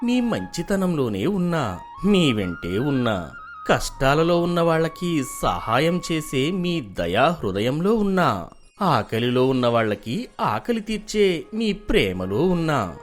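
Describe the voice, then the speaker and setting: A woman playing a benevolent male god in a cartoon, making a gentle, solemn proclamation. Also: low voice, big pitch swings, slow, faint music behind, full character voice. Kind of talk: animated devotional story.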